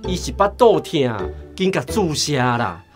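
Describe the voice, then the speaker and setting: A man with a Taiwanese accent playing a gruff, distrustful village father in a radio drama. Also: fairly high pitch, big pitch swings, a little slow, soft background music. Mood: scornful.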